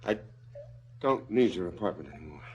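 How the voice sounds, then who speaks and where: An actor playing a stricken, guarded former professor in a stylized radio drama, speaking in terse, somber phrases. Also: male voice, deep voice, animated, brisk, a little hiss or hum. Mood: guarded, withdrawn. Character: subdued, stricken male former professor turned corpse handler.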